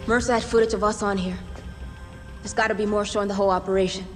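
A woman's voice: mid-range voice, fairly even intonation, quick pace, lightly acted. An earnest, insistent young American street racer, acted by a woman in a video game cutscene. She is a loyal, determined woman, heard in a reverberant space with prominent music. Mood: urgent, determined. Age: young adult. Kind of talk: dramatic game cutscene dialogue. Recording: hall reverb, loud music behind.

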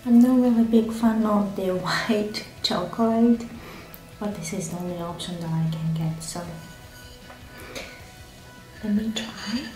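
Slow, chatty woman reviewing food. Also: medium pitch. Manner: relaxed, chatty, with many fillers and restarts. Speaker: food vlogger. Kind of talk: casual food review to camera. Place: small indoor room. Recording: echoey room with faint music.

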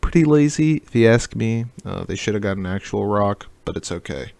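A man narrating a casual software tutorial in a jokey, slangy way. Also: fairly low pitch, expressive, normal speed, gravelly, no echo. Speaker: tutorial host.

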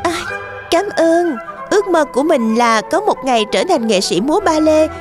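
A sweet, timid young girl heroine, in a cartoon fairy tale. Her voice is extremely high and swoops expressively, with soft music behind. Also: big pitch swings, normal speed. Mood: grateful, shy.

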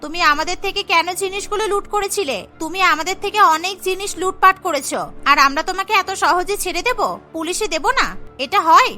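A woman's voice: extremely high pitch, fairly quick, bright, forceful tone, faint music behind. A woman voicing a whiny, cheeky college-age girl in a cartoon. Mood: confrontational.

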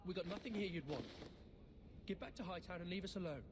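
A man's voice: sits high, fairly quick, lightly acted. An actor playing a defensive, protective orphaned refugee boy in a video game, guarded and curt. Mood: hostile. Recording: reverberant game audio with background noise.

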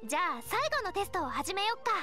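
A woman's voice: very high voice, very animated, racing, sharp tone, full character voice. A woman playing a cheerful, confident young female knight scout in an anime game, perky and eager. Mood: upbeat, encouraging. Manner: perky, eager, self-assured. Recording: game music behind the voice.

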